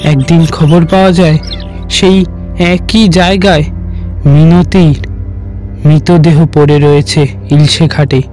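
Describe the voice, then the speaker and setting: Male horror storyteller: very measured pace, slightly high pitch, dramatic scripted delivery, soft music underneath. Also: steady tone. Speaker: story narrator.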